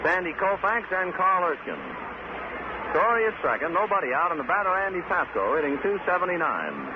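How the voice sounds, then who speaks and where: A male baseball announcer from 1950s radio, his fairly high voice swinging animatedly as he calls the play in clipped phrases. He slips into conversational asides between calls. The old broadcast is dull and buried in loud noise. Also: sing-song, brisk. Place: outdoor ballpark broadcast position.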